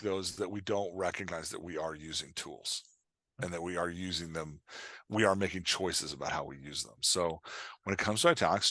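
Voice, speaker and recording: A man with a bright, forceful voice, recorded close and dry. He talks like an animated podcast guest explaining the craft of writing, emphatic and joking, slipping into imagined little exchanges. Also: low voice, no echo. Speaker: guest expert on writing fiction dialogue. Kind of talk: animated casual podcast chat.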